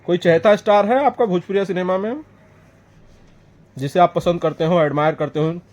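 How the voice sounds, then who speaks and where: High male voice, press interviewer, blunt and probing questioning. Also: normal speed, darkish tone.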